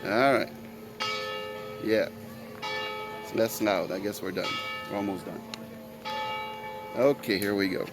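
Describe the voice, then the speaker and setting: A man's voice: on the low side, takes it slowly, pauses often, loud music behind the voice. A man whose first language is French, hosting his own podcast in an earnest, rambling solo monologue full of fillers. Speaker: podcast host.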